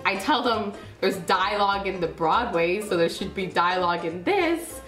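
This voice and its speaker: A woman's voice: medium pitch, animated, moderate pace, a bit bright, echoey room, soft background music. A woman telling a personal anecdote casually and animatedly, acting out remembered reactions as she goes. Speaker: storyteller recounting a personal anecdote.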